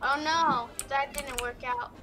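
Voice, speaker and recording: A woman's voice: sits high, fairly even intonation, takes it slowly. A young woman playing a game with friends, making short, chatty remarks. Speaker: player. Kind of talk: casual gaming chat. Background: game music.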